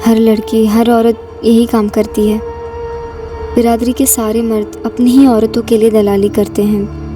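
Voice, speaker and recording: Slow, subdued young woman played by an actress. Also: a little high, flat delivery, far from the mic, music in the background, close to an everyday voice. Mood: sombre, confiding. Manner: polite, reserved, terse, apologetic. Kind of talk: TV crime drama acting.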